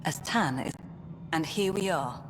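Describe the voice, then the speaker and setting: A sardonic director in an echoing hall. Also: female voice, low voice, animated, unhurried, a little hiss or hum. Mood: guarded, weary. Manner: sardonic, terse. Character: jaded, sardonic female colonial director, blunt and impatient. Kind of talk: video game dialogue acting.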